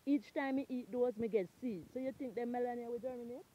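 A Jamaican woman vlogging on a farm, chatting in a lively, exclaiming way while filming on a handheld phone outdoors. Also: fairly high pitch, quick pace, slight background noise, rough recording. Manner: lively, exclaiming, repeats catchphrases. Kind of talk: casual farm tour chat. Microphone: handheld phone camera.

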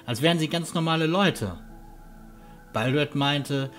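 A man playing a courteous, earnest huntsman guide with a halting manner in a fantasy audio drama. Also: fairly high pitch, a bit bright, soft background music. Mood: hesitant, uneasy. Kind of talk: German fan audio drama acting.

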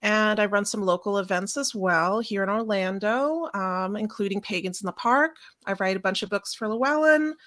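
Woman, cheerful, mid-pitched, dry close sound, video call panelist. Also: moderate pace, hardly any pauses, fairly bright tone, no echo. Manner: cheerful, laughing. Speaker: author and event organiser, panelist. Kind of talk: casual self-introduction. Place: home room.

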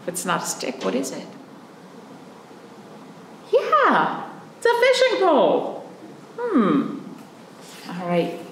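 A woman in her thirties, a children's librarian, reading a picture book aloud in an animated, playful way and asking the children questions. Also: medium pitch, slow, long pauses, reverberant, a little hiss or hum. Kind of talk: storytime read-aloud for children. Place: library room in front of a painted wall.

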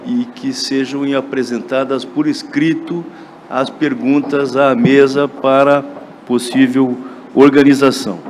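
Brazilian male panel moderator, formal and unhurried, reverberant auditorium with some noise. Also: medium pitch, a little mellow. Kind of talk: formal scripted introduction. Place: large indoor auditorium. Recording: echoey conference hall, background noise.

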